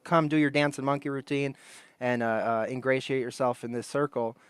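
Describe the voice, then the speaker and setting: A man's voice: mid-range voice, flat delivery, fairly quick, clear, resonant tone, no room sound. A man on a casual podcast, rambling through a story, laughing often and acting out the people he quotes. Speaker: podcast co-host.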